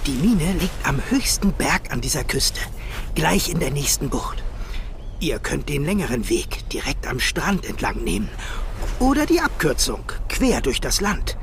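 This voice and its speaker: A man's voice: on the high side, big pitch swings, a little slow, background noise. A man playing a gaunt, proud and wary elderly fisherman in a children's audio drama, theatrical and sighing. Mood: stern warning. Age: older.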